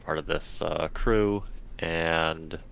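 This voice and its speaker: A man playing a tabletop game, casually describing his character's actions in halting, deliberate phrases. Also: extremely low pitch, fairly even intonation, gravelly, a bit bright, clean tone, lo-fi sound.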